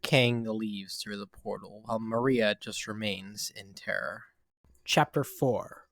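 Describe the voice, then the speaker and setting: A man's voice: fairly low pitch, steady tone, a little slow, creaky, fairly bright tone, dry recording. A man reading scripted scene narration for an amateur audio drama.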